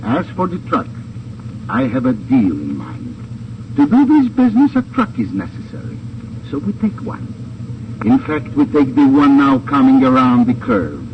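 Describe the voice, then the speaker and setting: Scheming german crime boss in old radio play, stiff and bossy, very swoopy pitch, noisy recording. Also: male voice, huge pitch swings, moderate pace, far from the mic, muffled recording. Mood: smug scheming. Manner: stiff formal phrasing, commanding, condescending. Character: imperious, scheming German male gang boss. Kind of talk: 1950s radio crime drama acting.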